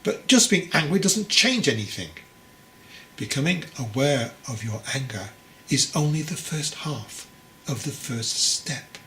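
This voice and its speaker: A man's voice: medium pitch, expressive, measured pace, bright, forceful tone. Man playing a wise old monk over background noise. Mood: calm, instructive. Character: wise, patient, teasing old monk master. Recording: clearly noisy recording.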